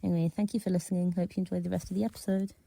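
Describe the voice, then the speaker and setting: A woman's voice: on the low side, little pitch movement, racing, gravelly, noisy background. A woman with a soothing voice reading a prepared personal essay aloud as a listener contributor.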